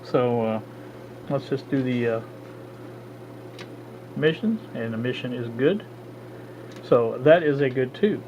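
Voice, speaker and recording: A man of middle pitch talks his way through hands-on work at a home bench in a relaxed, unscripted way. He stops and restarts often, filling the gaps with "uh" while he figures things out. The recording sounds dull and lo-fi, with a clear layer of steady noise behind him. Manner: halting, thinks aloud with frequent uh. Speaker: hobbyist radio restorer. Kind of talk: casual hands-on demo narration.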